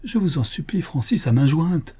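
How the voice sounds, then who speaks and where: A man's voice: medium pitch, a little fast, warm tone, voice pushed up, natural voice. A man reading the part of an anguished young woman who pleads and begs in a classic novel audiobook. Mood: pleading. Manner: desperate, imploring.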